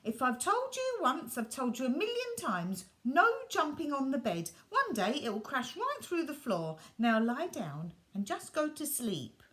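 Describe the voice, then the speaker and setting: A woman's voice: sits high, very animated, unhurried, few pauses, fairly bright tone, pitched above the performer's own voice, full character voice. A woman reading aloud, voicing a firm, exasperated father scolding his young son at bedtime.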